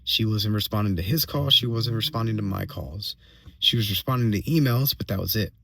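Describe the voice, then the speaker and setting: A man with a slightly hoarse voice giving a casual personal update as a vlogger, venting in a halting way, repeating phrases and checking in with tag questions. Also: fairly low pitch, fairly bright tone, no room sound. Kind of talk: casual solo monologue, venting.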